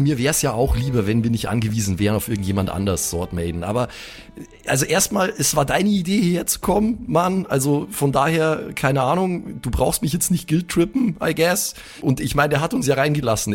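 A man plays a laid-back young man in an improvised audio drama. He rambles hesitantly and drops English slang into his talk, at an average pitch. Music plays behind him. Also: expressive, a little fast, close to an everyday voice. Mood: defensive.

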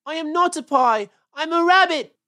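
A woman's voice: very high voice, moderate pace, bright, forceful tone, voice pushed up. A woman voicing a frightened, stubborn young boy rabbit who wails and insists. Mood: wailing. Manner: wailing, insistent.

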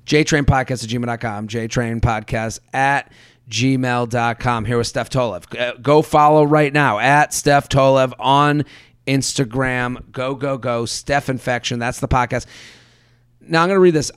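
A man hosting a podcast, reading ads and listener emails aloud in a lively, chatty way. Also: mid-range voice, unhurried, clear, resonant tone, no echo, studio quality. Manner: animated, reads ads with repeated promo codes. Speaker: podcast host.

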